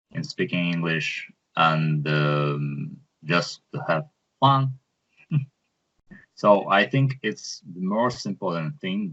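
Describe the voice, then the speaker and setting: A man learning English in an online group, answering in a measured, thoughtful way. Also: sits low, fairly bright tone. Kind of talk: casual chat. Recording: muffled online call.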